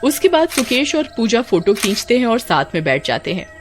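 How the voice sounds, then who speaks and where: A woman's voice: fairly high pitch, very animated, fairly quick, faint music behind. A woman storyteller giving scripted, dramatic narration of a horror story.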